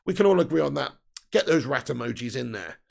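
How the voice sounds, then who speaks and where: A young man hosting a football fan live stream, reading out viewer comments in a heated, sweary rant, his voice croaky and tired. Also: medium pitch, lively intonation, fast, a little mellow. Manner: heated, sweary ranting with laughs. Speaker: football fan channel host.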